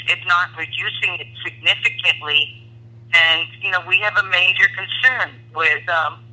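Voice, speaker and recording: A woman's voice: lowish voice, pushed and bright, poor audio. A woman, a physician calling in to a radio talk show and explaining her work earnestly over the phone. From India. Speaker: physician guest. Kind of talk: radio call-in interview. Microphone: phone line.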